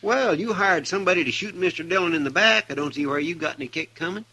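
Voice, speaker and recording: An actor playing a loyal, folksy young deputy in a 1950s radio drama, with a drawling, eager manner. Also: male voice, sits high, quick pace, sharp tone, breathy edge, heavily acted. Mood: firm. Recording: old radio broadcast with faint hiss.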